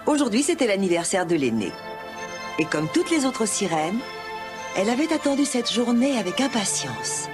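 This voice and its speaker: A woman narrates a children's fairy tale as a storyteller. Her mid-range voice moves with animated intonation through scripted lines. Music is clearly present behind her. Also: mid-range voice, moderate pace.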